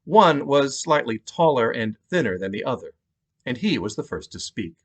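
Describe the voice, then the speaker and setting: A man's voice: mid-range voice, sing-song, normal speed, slightly rough. An American man, an author reading his own novel aloud as scripted narration. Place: home basement.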